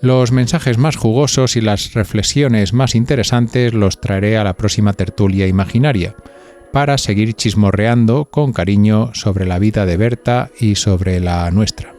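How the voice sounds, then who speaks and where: Man, Spain accent, lowish pitch, podcast host, scripted narration, rhetorical questions, faint music behind. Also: a little slow, warm tone. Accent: Spanish from Spain.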